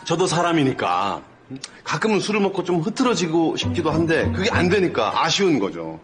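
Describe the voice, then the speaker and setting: A man's voice: a little high, big pitch swings, moderate pace, faint music behind. An actor playing an eager young man who boasts politely and formally that he never gets drunk.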